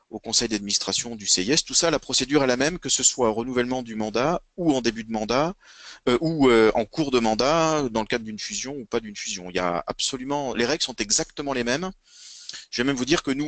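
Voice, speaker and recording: A man with a fairly low, bright voice speaks as an expert at an online conference. He explains methodically in formal vocabulary, and the sound is close and dry. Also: no room sound. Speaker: guest expert in local social services administration. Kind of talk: explanatory web conference presentation and answers.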